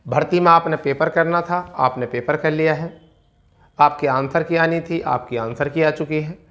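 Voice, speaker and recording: Higher-pitched man explaining to students. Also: normal speed, fairly bright tone. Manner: repeats key phrases for emphasis. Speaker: exam coaching teacher giving recruitment updates.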